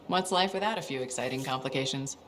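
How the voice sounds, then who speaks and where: An actress playing a composed, wry freelancer pilot, loyal and diplomatic, in a video game cutscene. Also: female voice, a bass voice, fast, a bit bright. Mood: friendly, teasing.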